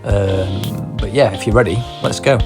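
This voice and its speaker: A man's voice: lowish voice, unhurried. A man hosting a podcast, giving a casual, hesitant introduction with lots of ums and uhs. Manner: hesitant, filler-heavy. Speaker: podcast host. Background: faint guitar music.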